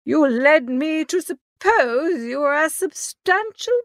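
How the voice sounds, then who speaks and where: A man voicing a stern, disapproving old duke in an audiobook, with slow, clipped, haughty delivery. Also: very high-pitched, animated, fairly bright tone, no echo, clean studio sound, voice pushed up, exaggerated voice. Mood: indignant. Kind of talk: audiobook character acting.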